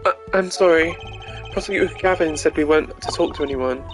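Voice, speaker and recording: A woman's voice: sits low, brisk, voice pushed down, close to an everyday voice. A woman voicing an earnest, wry young male defence attorney in an amateur video game voice-over, dry and a little hesitant. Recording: game music behind the voice.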